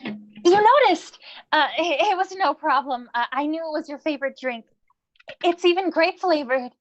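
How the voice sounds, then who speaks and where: Through a dull, lo-fi microphone comes an anxious young goddess, played by a woman, high-voiced and pleading. She is lovestruck and easily flustered, as heard in a staged play reading. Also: animated, moderate pace. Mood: flustered, delighted.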